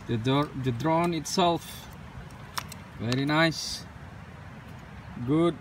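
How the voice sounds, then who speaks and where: Unscripted outdoor unboxing: man with a slightly higher voice, a bit far from the mic, with traffic noise behind. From Malaysia. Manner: unscripted, halting, with frequent "uh" and non-standard English grammar. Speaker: drone reviewer. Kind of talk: casual unboxing narration. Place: outdoors on pavement.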